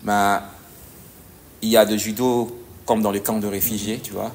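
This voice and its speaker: Gravelly man, halting. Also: fairly low pitch, level tone. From DR Congo. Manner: halting, searching for words, frequent "tu vois". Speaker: judo coach. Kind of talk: conversational interview answers.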